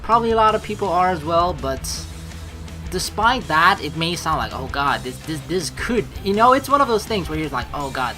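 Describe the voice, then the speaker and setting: A man giving casual, rambling, joking commentary as he hosts a video game playthrough, swearing now and then. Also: on the high side. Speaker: let's play host. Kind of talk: casual gaming commentary with read-aloud game text. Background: background music.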